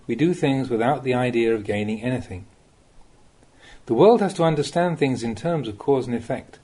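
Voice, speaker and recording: A man reading a translated Buddhist talk aloud as a monk would teach, in measured, didactic, emphatic short phrases. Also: mid-range voice, dark tone, breathy edge.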